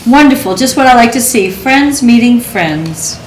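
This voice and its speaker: A woman's voice: a little high, expressive, moderate pace, close to an everyday voice. A woman playing a genial, teasing party host who coaxes the guests in a breezy way. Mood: breezy, coaxing. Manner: breezy, coaxing. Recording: roomy echo with faint noise.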